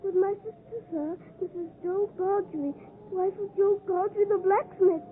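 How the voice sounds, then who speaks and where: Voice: extremely high pitch, fairly even intonation, a little slow. A young performer playing a timid, polite small orphan boy, meek and curious, in 1950s radio drama style. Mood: frightened. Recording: tinny, noisy 1950s radio broadcast.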